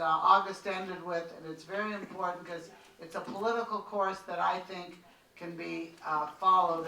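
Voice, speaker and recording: A man in the audience of a panel, making an earnest, halting public comment full of restarts. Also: high voice, gravelly, sharp tone, slight background noise. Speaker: audience member. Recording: roomy hall, mic held at a distance.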